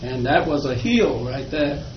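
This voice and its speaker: Older man, from Virginia, folksy storyteller, unhurried, dull lo-fi recording. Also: medium pitch, big pitch swings. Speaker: oral history interviewee. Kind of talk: slow reminiscing storytelling. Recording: echoey room, dull lo-fi, faint noise.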